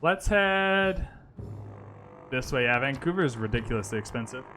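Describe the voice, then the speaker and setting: A man's voice: medium pitch, animated, moderate pace, sharp tone, faint music behind. A man streaming a strategy game, thinking his moves aloud and chatting casually with his viewers. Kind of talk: casual gaming commentary. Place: lives in Vancouver.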